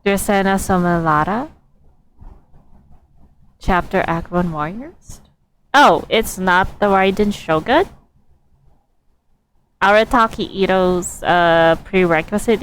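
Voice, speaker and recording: A woman's voice: on the low side, slow pace, long pauses. A woman livestreaming a game with casual commentary, musing and thinking aloud as she reacts. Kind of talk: casual gameplay commentary, reacting to story dialogue. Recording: background game ambience and noise.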